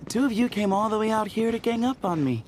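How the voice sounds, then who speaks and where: A woman's voice: a little high, animated, quick pace, darkish tone, natural voice. A woman voicing a confident, wry young adventurer in a video game, speaking casually. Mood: wry, nostalgic.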